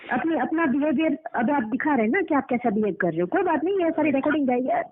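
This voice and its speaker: A woman's voice: high-pitched, lively intonation, fast. A woman arguing as a customer's advocate on a recorded phone call, lecturing and questioning, repeating phrases for emphasis. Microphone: telephone.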